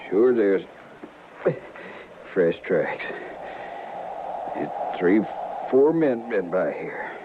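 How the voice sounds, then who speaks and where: An actor playing a badly hurt, dying cowboy, halting and pained, with bitter resignation, in a Western radio drama. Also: male voice, fairly low pitch, animated. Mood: fading, resigned. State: gravely injured, weak, labored speech. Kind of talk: old-time Western radio drama acting. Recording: tinny, noisy old radio recording.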